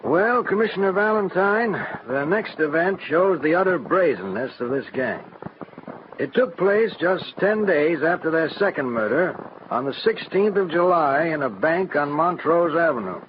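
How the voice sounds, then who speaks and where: Man as higher-voiced solemn coroner narrating, noisy radio. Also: lively intonation, normal speed, close to an everyday voice. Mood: grave, dramatic. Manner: dramatic, formal. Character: solemn male coroner recounting a criminal case gravely. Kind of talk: 1940s radio scripted narration. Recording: muffled, noisy old radio recording.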